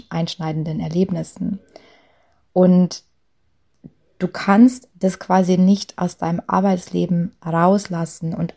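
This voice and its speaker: A young woman from Lower Bavaria with a light Bavarian accent, chatty and full of fillers, giving a talk on authenticity at work. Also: vocal fry. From Lower Bavaria, Germany. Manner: chatty, frequent fillers, soft laughs.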